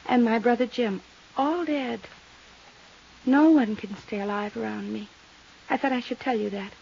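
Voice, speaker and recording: An actress playing a haunted, unstable young widow with deliberate, intense delivery and loaded pauses in a 1950s radio drama. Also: female voice, fairly high pitch, creaky, a little mellow. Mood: haunted, bitter. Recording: muffled, hissy vintage radio recording.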